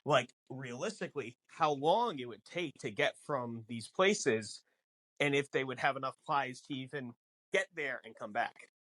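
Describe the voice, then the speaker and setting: A man's voice: mid-range voice, lively intonation, unhurried, pushed and bright, no echo, rough recording. A man co-hosting a podcast, explaining things casually in an emphatic, rambling way. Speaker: podcast co-host. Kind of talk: casual film discussion podcast.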